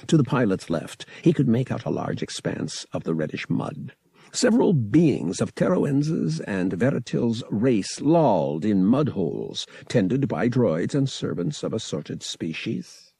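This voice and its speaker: A man reading a science fiction novel aloud as an audiobook narrator, with expressive storytelling. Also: lowish voice, measured pace, creaky, husky, no echo. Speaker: audiobook reader.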